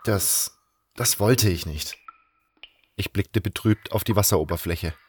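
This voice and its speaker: A man's voice: low voice, unhurried, a little hiss or hum. A man playing an earnest, brooding adventurer who tells his own story in a fan audio drama. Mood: downcast. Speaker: narrator and lead actor in a fan audio drama. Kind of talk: first-person dramatic narration and dialogue.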